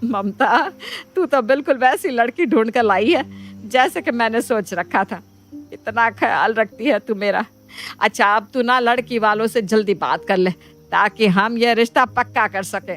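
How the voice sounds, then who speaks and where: Woman acting an eager, high-voiced mother. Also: a little slow, fairly bright tone. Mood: pleased. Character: eager, trusting middle-aged mother seeking a bride. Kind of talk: dramatised Hindi moral story dialogue.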